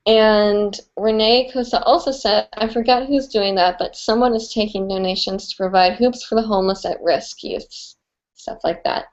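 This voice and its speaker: A woman's voice: mid-range voice, fairly even intonation, moderate pace, dull audio. A young woman with a stuffy, nasal voice, chatting casually and rambling as she answers viewers' hooping questions on a live stream. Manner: chatty, rambling, with many fillers.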